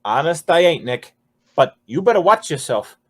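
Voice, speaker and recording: A man's voice: on the high side, very animated, moderate pace, husky. A man playing a nervous, cringing underworld informant in 1940s radio style, stammering and whining in slang. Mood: reluctant, confiding.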